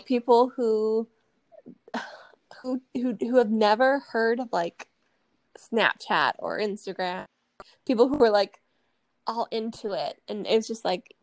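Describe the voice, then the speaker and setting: A woman's voice: on the high side, no room sound. A woman in her early thirties co-hosting a casual personal chat, talking in a chatty, rambling way with plenty of "like". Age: early 30s. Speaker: podcast co-host.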